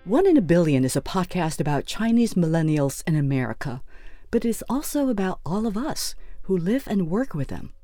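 A podcast host reading scripted narration in a measured, reflective way. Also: mid-range voice, big pitch swings, dark tone, dry recording. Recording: narration over clear guitar music. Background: guitar music.

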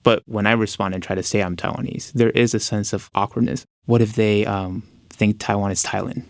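A man in his twenties who grew up in America, speaking in an interview in a careful, hesitant way, pausing and restarting often. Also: fairly low pitch, fairly even intonation, no echo, clean studio sound. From United States. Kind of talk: personal interview talk.

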